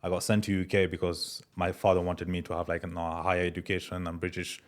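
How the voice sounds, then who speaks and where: Young guy from france, low voice, chill podcast guest, lots of bro and man. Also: fast. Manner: relaxed, many fillers like "bro" and "man". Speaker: guest entrepreneur. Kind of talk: casual interview chat.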